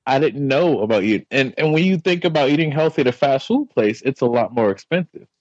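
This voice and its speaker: A man talking casually as a podcast guest, playful and relaxed. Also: quick pace, dry recording, highs cut off. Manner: playful, jumps into a high hype voice at times. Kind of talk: casual conversation.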